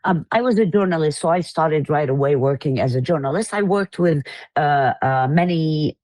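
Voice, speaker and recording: A woman in her fifties from Iraq speaks with a dark, mellow voice. She tells her story earnestly, pausing often on fillers. The recording is dry and close. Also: low voice, expressive, no room sound. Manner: earnest, halting with many fillers. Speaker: guest. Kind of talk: interview storytelling.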